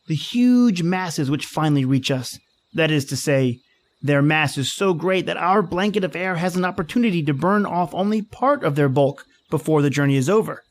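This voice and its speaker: Woman playing an excitable, lecturing husband with swooping pitch. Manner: emphatic, lecturing, repeats words when excited. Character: excitable, dismissive husband, an eager amateur astronomer. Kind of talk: old-time radio horror drama acting.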